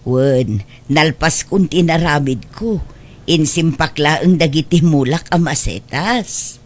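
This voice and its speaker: A woman playing a humble, hardworking elderly mother in an Ilocano radio drama, speaking in a gentle, plaintive, homely way. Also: deep voice, slow. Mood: fond.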